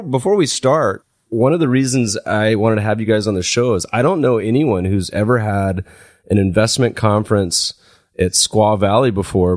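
A man's voice: fairly low pitch, dry recording, studio quality. A man hosting an interview podcast, chatting casually and a little stuffy from a cold. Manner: relaxed, chatty, with fillers and restarts. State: slightly congested with a cold. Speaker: podcast host.

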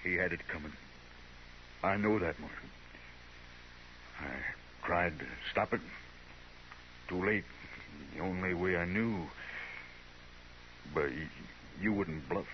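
Hard American frontier patriarch, radio drama. Very low, slow, heavy and gruff, muffled vintage sound. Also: male voice. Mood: grim, grieving. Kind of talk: 1950s radio drama acting. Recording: muffled, noisy 1950s radio recording.

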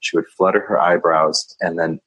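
A man's voice: deep voice, level tone, moderate pace, a bit bright, slightly rough, low-quality recording. A male journalist telling an anecdote in a wry, vivid way. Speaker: journalist, interview guest. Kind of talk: anecdotal storytelling.

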